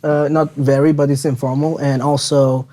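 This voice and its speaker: A man's voice: a little high, flat delivery, moderate pace, mellow. A young man from Kuwait, chatting casually as a student guest on a panel. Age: young adult.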